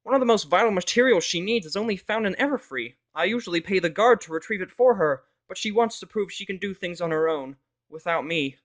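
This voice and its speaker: A man's voice: high-pitched, lively intonation, fairly bright tone, pitched above the performer's own voice. A man voicing a proud business stallion reduced to a desperate, pleading father in a fan fiction audio drama. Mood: distraught, ashamed. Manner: pleading, distraught, halting.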